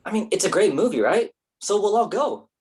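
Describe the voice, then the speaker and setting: A man's voice: high voice, big pitch swings, a little fast, a crowd in the background. A man playing a theatrical, flirty, self-pitying actor in his mid-thirties in a staged play reading. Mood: dramatic. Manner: whiny, theatrical, pretentious.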